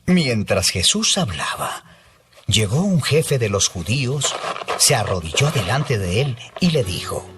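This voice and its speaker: A man's voice: mid-range voice, very animated, measured pace. A man narrating a dramatized scripture reading as a scripted storyteller. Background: music.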